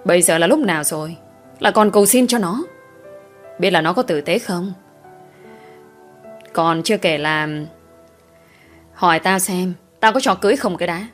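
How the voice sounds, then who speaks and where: A woman's voice: lowish voice, lively intonation, normal speed, pauses often, faint music behind. A woman voicing a furious, strict middle-aged village father who scolds and growls at his daughter. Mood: furious scolding.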